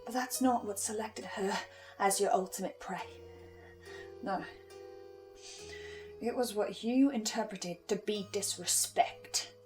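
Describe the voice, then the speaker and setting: A woman playing a composed, eerie researcher in a horror audio drama, speaking in a deliberate theatrical way. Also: mid-range voice, close to an everyday voice. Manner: slow, deliberate, ominous, suave.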